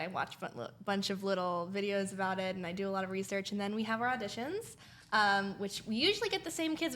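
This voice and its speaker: A woman's voice: medium pitch, fairly bright tone, a little hiss or hum. A young woman, enthusiastic and chatty, answering questions as an interview guest in casual talk. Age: young adult. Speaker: guest, youth theater director.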